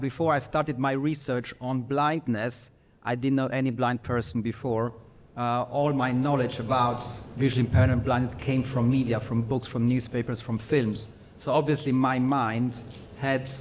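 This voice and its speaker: A middle-aged man with an Austrian accent giving a workshop lecture, measured and pausing often with fillers. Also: medium pitch, steady tone, slight background noise.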